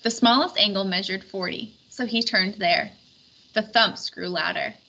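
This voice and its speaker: A woman reading a storybook aloud in expressive scripted narration. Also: medium pitch, moderate pace, vocal fry. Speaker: storybook reader. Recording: dull recording with faint background noise.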